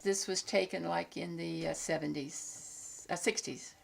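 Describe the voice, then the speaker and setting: A woman's voice: lowish voice, fairly even intonation, unhurried, creaky, heavy background noise. A woman in her seventies from Virginia, carefully recalling her parents in an oral history interview. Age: 70s.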